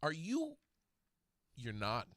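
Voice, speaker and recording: A man hosting a radio talk show, chatting casually and reading out a news story with animated emphasis. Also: a little high, slow, no room sound.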